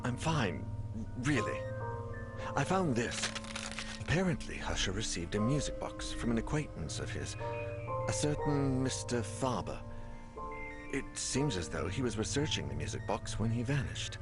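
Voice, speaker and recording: An actor playing a haunted, exhausted male survivor of an ordeal in a horror game, speaking gravely and breathily. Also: mid-range voice, sing-song, normal speed, loud music behind the voice, close to an everyday voice. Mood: groggy, earnest. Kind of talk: dramatic first-person game narration.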